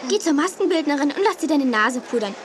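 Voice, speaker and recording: A woman's voice: sits high, animated, quick pace, noisy background. An actress playing a cheeky, blunt young girl in a children's radio play. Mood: blunt, teasing.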